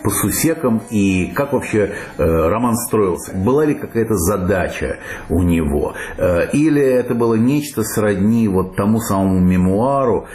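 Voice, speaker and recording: A man's voice: lowish voice, lively intonation, normal speed, darkish tone, faint music behind. A man interviewing a guest with long, winding, probing questions. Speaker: radio interviewer. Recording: roomy studio with faint hiss.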